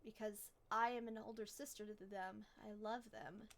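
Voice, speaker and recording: A woman's voice: mid-range voice, steady tone, moderate pace, fairly bright tone, slight background noise. A woman game streamer reading story text aloud and reacting casually on a live stream.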